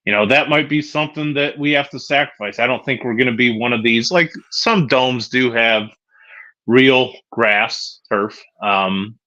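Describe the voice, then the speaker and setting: A man from co-hosting a casual sports podcast, talking hesitantly with many pauses and restarts. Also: medium pitch, pushed and bright. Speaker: podcast co-host. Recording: dull lo-fi sound.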